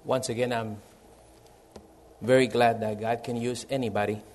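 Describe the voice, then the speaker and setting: A man from El Salvador with a Salvadoran Spanish accent, a missionary pastor speaking in an earnest, measured way in a church service. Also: mid-range voice, level tone, darkish tone, slight background noise. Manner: earnest, measured, reads from prepared notes. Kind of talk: missionary church presentation and sermon.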